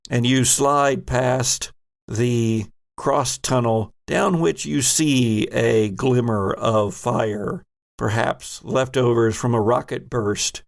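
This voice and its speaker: A man narrating a horror sci-fi tabletop game as game master, descriptive, with deliberate halting pauses. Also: mid-range voice, creaky.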